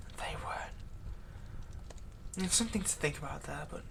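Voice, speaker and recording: Man acting a hushed, vengeful newborn vampire. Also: a little high, animated, brisk, creaky, in a whisper. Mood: bitter, taunting. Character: vengeful male former thrall, newly turned vampire, bitter and taunting. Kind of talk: intimate roleplay audio drama, ASMR.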